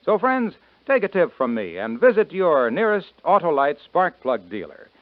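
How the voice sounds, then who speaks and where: A man's voice: a little high, very animated, moderate pace, no room sound. A man announcing a 1950s radio drama and reading a cheerful commercial in a bouncy, salesy manner. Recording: tinny, dry 1950s radio broadcast.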